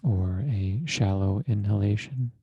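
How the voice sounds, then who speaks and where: A man leading a guided meditation as a teacher, speaking in a deliberate, measured way with long pauses and formal, precise wording. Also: fairly low pitch, vocal fry, dark tone, slightly rough, no room sound, soft background music.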